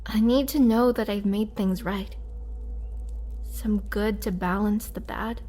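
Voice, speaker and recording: A woman playing a gentle, remorseful young vampire in an intimate roleplay audio drama, speaking softly and hesitantly with tender care. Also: mid-range voice, fairly even intonation, slow. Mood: humbled, remorseful. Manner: soft, hesitant, caring delivery.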